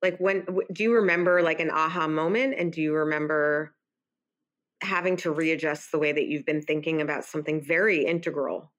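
A woman with a low-pitched voice acts as interviewer. She speaks conversationally, building her questions in layers and rewording them along the way. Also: fairly even intonation, fairly quick, dull audio.

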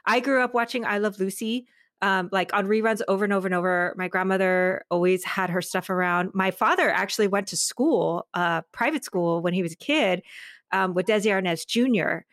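A woman's voice: medium pitch, brisk, dry recording. Effusive middle-aged woman in a dry recording. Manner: animated, effusive, re-enacts dialogue, laughs often. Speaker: podcast guest, author and creative. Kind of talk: casual interview storytelling.

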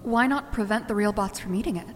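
A woman's voice: medium pitch, fast talker, a little hiss or hum, close to an everyday voice. Woman acting a candid, skeptical biographer. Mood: challenging. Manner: informal, candid, questioning. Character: candid, skeptical female biographer, sarcastic and easily amazed. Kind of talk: naturalistic sci-fi audio drama acting.

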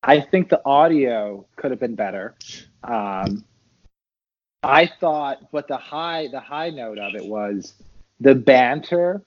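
Man, podcast guest, mid-range voice, casual banter, halting phrasing, dull lo-fi audio. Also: medium pitch, animated. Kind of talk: casual interview chat, bantering.

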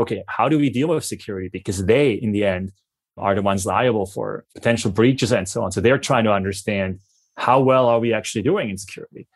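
A man in his forties with a Swiss accent, speaking as an expert on a panel and stressing his points with a tag of "right?". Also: fairly low pitch. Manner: emphatic, peppers points with "right?". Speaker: CIO and security expert. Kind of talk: panel discussion.

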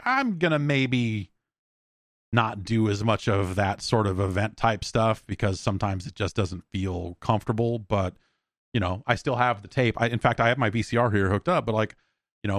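A man's voice: medium pitch, a bit bright, no room sound. Casual, profane man, very dry sound. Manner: profane, blunt, informal. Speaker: podcast host. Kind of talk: casual rambling solo talk with anecdotes.

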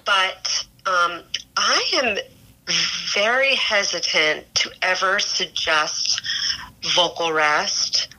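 A middle-aged woman with a very bright, sharp-edged voice that sits a little low for her. She explains things frankly and thoughtfully, often correcting herself mid-sentence as she works out an idea. She is calling in remotely. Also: very slow, poor audio. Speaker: voice teacher and guest. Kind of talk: explanatory chat. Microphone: remote call-in mic.

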